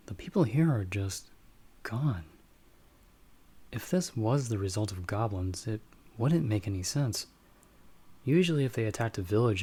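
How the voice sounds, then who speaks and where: A man playing a watchful bounty hunter in an audio roleplay, explaining things to his companion in a measured, slightly formal way. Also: fairly low pitch, warm tone, breathy edge. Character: calm, watchful male bounty hunter with magical wards. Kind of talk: ASMR audio roleplay acting, speaking to the listener as companion.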